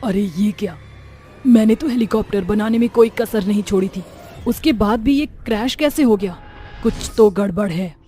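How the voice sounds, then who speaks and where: A woman's voice: medium pitch, normal speed, warm tone, faint music behind, natural voice. A woman voicing an earnest young village wife who thinks aloud in a storybook cartoon. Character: young village wife, engineer, later a witch.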